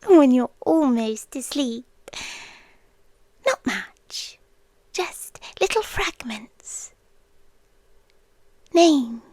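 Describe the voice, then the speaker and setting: A British woman playing an obsessive, possessive girlfriend in an ASMR roleplay, whispering softly and intimately close to the microphone. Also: sits high, expressive, no echo, exaggerated voice. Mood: creepy tenderness. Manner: slow, breathy, intimate whispering mixed with soft voice. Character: obsessive, possessive yandere girlfriend lurking under the bed. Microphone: close, dry microphone.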